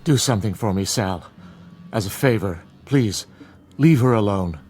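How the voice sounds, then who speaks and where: An actor playing a dignified, weary old father in a TV drama, speaking in a measured, polite and grave way. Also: on the low side, expressive, a little mellow, soft background music, close to an everyday voice. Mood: guarded, polite. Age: older. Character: dignified, weary, dying old father, former teacher, protective. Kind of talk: dramatic acting in a TV anthology drama.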